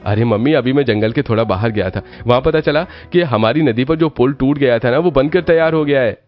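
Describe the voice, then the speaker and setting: A man's voice: mid-range voice, brisk, dull audio, soft background music, natural voice. A man voicing the eager grown son of a village family in a cartoon story. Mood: excited.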